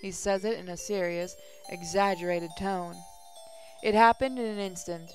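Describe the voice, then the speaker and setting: A woman's voice: fairly low pitch, steady tone, moderate pace, a little mellow. A woman hosting a gaming channel, reading visual novel text aloud in a casual way. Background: music.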